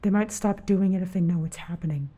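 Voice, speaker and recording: Actress as husky, insistent engineering boss. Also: female voice, fairly low pitch, brisk, warm tone, close to an everyday voice. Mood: urgent, insistent. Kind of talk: naturalistic audio drama dialogue.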